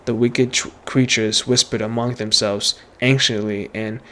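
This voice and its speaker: A man streaming a video game, reading story text aloud dramatically and haltingly, stumbling and repeating words. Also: mid-range voice, level tone, bright, forceful tone.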